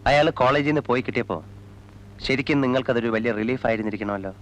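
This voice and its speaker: An actor playing a police investigator in a film drama, putting pointed, probing questions to a witness. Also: male voice, mid-range voice, rapid-fire, natural voice.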